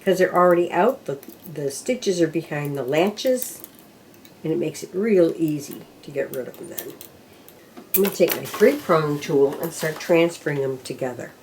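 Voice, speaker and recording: Older woman, low voice, lively pitch, casual craft demo, faint noise and clicks behind. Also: normal speed. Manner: talks to herself while working, counting rows aloud. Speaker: knitting instructor. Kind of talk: casual step-by-step craft demonstration.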